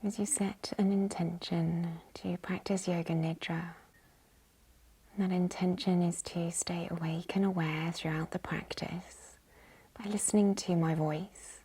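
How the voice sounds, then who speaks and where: Woman, yoga nidra guide, soft whispery voice, creaky edges, mellow tone, calm guided instruction. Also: on the low side. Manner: calm, gentle, unhurried. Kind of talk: guided meditation, slow hushed instruction.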